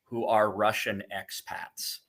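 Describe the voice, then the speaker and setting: A middle-aged man from the United States, a guest talking in a relaxed interview, often restarting and repeating words. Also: fairly low pitch, takes it slowly. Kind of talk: conversational interview.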